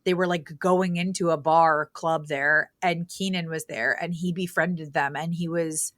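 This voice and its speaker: A woman in her thirties joins a podcast as a guest. She talks loudly and with animation, and laughs often. Her voice is bright and forceful, recorded dry and close. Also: deep voice, moderate pace, dry recording. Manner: loud, animated, laughs a lot. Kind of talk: casual chat.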